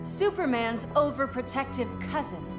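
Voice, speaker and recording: An actress playing a defiant young superpowered heroine in a video game cutscene, confident and combative. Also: female voice, sits high, animated, moderate pace, music in the background.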